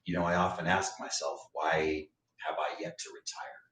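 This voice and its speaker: An actor in a staged reading playing a bitter, drunken older philosophy professor, pompous and sardonic. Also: male voice, very deep voice, little pitch movement, moderate pace, fairly bright tone. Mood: bitter, brooding. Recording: echoey room, distant microphone.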